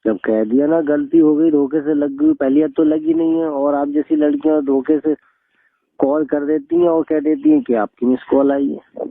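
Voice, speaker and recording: A tinny, dry phone recording carries a young man. His voice has a gravelly creak. He argues in an indignant, blunt, scolding way. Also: a little high, big pitch swings, moderate pace, no room sound. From northern India. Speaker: phone call recipient. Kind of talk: argumentative phone conversation. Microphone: telephone.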